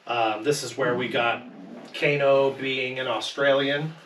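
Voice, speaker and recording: A man's voice: medium pitch, steady tone, unhurried, fairly bright tone. Guy on a podcast, bit far from the mic, faint hiss, into it and opinionated. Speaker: podcast panelist. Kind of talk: casual panel discussion of films. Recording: roomy echo, distant mic, faint noise.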